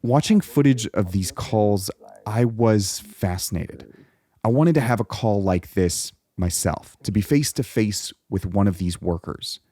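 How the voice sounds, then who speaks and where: A man narrating a documentary voice-over in a measured, reflective first-person style. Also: lowish voice, lively intonation, mellow, no room sound, studio quality. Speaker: host and narrator.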